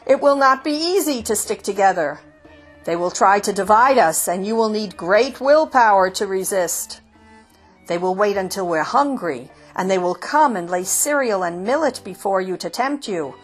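A woman's voice: medium pitch, expressive, normal speed, soft background music, natural voice. A woman voicing a defiant male rooster in a storybook, urgently rallying and commanding his flock. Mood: rousing, stern. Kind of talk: storybook character voice.

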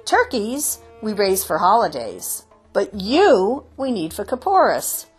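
A woman's voice: medium pitch, huge pitch swings, slow pace, slightly rough, faint music behind, voice pushed up, heavily acted. A woman doing pompous village householders. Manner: pompous, lecturing. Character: self-important pious village householders, men.

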